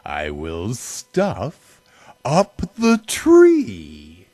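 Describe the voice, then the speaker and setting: A man's voice: medium pitch, wildly swooping pitch, extremely slow, dark tone, dry recording, faint music behind, voice pushed up, exaggerated voice. A man voicing a sly, greedy old storybook creature who sneers with wicked glee and draws out his words menacingly. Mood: gleeful.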